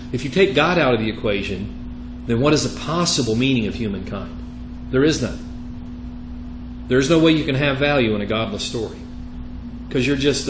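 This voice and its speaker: A man with Italian as his first language lectures to students on faith. He speaks earnestly and emphatically, pausing to quiz them. His voice carries the echo of the classroom, with clear noise behind it. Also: mid-range voice. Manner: earnest, emphatic, quizzing listeners with rhetorical questions. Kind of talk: interactive religious lecture. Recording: roomy, echoey classroom with clear background noise.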